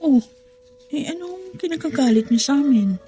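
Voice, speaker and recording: A woman's voice: high voice, animated, normal speed, dark tone, a little hiss or hum. An actress playing a concerned, hurt adult daughter pleading with her father in a Filipino radio drama. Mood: hurt, questioning.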